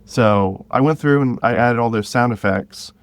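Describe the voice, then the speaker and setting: A man speaking as an interview guest, telling stories about directing in a casual, halting way with some chuckles. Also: mid-range voice, no room sound. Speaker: guest, director of an audio drama podcast.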